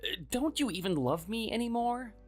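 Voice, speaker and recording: A performer voicing a meek, sickly man in his thirties who speaks softly and hesitantly, always apologizing. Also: very high voice, big pitch swings, moderate pace, exaggerated voice. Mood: pleading. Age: 30s. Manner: soft, hesitant, pleading. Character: meek sickly young husband, timid and apologetic.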